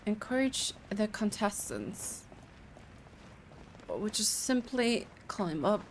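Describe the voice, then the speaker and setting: A woman giving casual live reactions to a video game, thinking aloud in a halting, giggly manner. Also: medium pitch, very animated, slight background noise. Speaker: gaming video creator reacting to gameplay.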